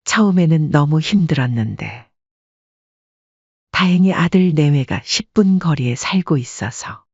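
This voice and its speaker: A woman voices a sorrowful grandmother in her late sixties telling her story. The voice is husky, recorded close and dry with a slightly dull tone. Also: low-pitched, a little mellow, no echo, exaggerated voice. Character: sorrowful 68-year-old widowed restaurant-owner grandmother. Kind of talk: scripted first-person story narration.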